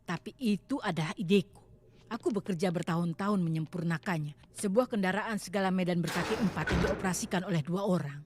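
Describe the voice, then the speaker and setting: A woman voicing a proud, resentful female scientist in an animated cartoon dub. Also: on the low side, brisk, slight background noise, close to an everyday voice. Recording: music behind the voice.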